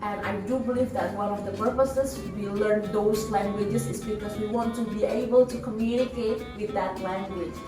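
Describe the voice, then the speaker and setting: A woman's voice: medium pitch, steady tone, moderate pace, few pauses. Young woman in an echoey room. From Indonesia. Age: young adult. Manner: agreeable, earnest, frequent affirming interjections. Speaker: student podcast co-host. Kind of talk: casual discussion. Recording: echoey room, distant mic, music behind.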